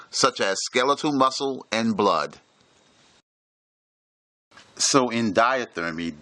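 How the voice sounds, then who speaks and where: A man reading a scripted instructional lecture with formal, measured enunciation. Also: medium pitch, bright, forceful tone, no room sound.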